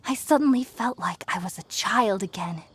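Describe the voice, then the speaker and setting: A woman playing an earnest, gentle young researcher in a video game, explaining things in a thoughtful, articulate way. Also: mid-range voice, normal speed, slightly rough. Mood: wistful wonder. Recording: music behind the voice.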